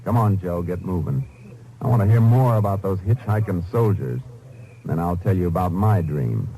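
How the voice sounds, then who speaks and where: An actor playing a calm, commanding Texas Ranger who investigates and narrates in a terse, dry, authoritative way in a 1950s radio crime drama. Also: male voice, low voice, moderate pace, low-quality recording. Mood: stern, dry. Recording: old 1950s radio recording.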